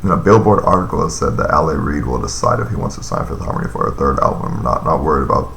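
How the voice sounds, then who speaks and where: A man's voice: very deep voice, lively intonation, fast, creaky, fairly bright tone, echoey room, slight background noise. A man in his thirties chatting casually on a live stream, reading and answering viewer comments in a loose, rambling way with lots of fillers. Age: 30s.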